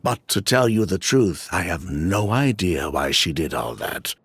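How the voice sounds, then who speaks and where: An actor playing a smooth, evasive middle-aged former perfume swindler in a video game. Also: male voice, low voice, very animated, unhurried, fairly bright tone, no echo, exaggerated voice. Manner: evasive, chatty, with dismissive laughs.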